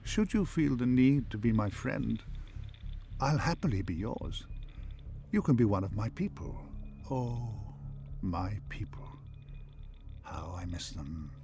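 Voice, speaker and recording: A man playing a calm, wise, once-human artificial intelligence for a game, speaking in measured, formal phrases. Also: fairly low pitch, a little hiss or hum. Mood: calm, reassuring, then wistful. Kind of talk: scripted game companion voice acting.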